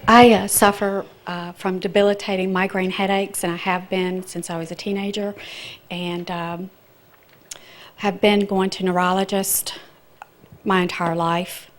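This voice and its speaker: Soft-spoken woman, slow and flat. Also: medium pitch. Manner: halting, with many fillers. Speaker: seminar volunteer. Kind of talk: describing her own problem.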